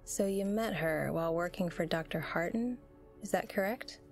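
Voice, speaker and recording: A woman playing a calm, probing interviewer in an audio drama, with gentle, measured delivery. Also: lowish voice, soft background music, lightly acted. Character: calm, probing female interviewer coaxing a survivor. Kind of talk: dramatic audio roleplay acting.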